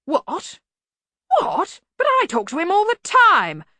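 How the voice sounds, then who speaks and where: A woman performs a bluff older male wizard in charge, from a comic fantasy audiobook. He blusters loudly and bluntly, missing the point. The delivery is forceful and swoops widely, in a dry recording. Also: very high voice, wildly swooping pitch, slow pace, no echo, pitched above the performer's own voice, exaggerated voice. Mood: blustering, hearty. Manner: blunt, bellowing, obtuse. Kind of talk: acted audiobook dialogue.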